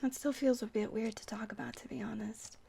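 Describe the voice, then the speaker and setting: A woman acting as a kindly vampire bartender with a fairly high voice. She talks in a gentle, chatty ASMR roleplay way, rambling whimsically as if to one companion. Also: steady tone, fairly quick, gravelly, slight background noise. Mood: chatty, sheepish. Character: friendly, rambling young-looking vampire bartender woman, centuries old.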